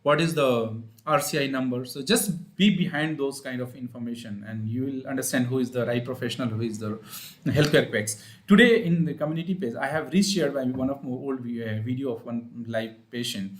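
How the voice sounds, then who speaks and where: A man with an Indian accent giving an informal live explanatory talk as a speech therapist, in a halting, repetitive, teacherly manner. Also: mid-range voice, a little hiss or hum. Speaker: speech-language pathologist hosting an advice livestream.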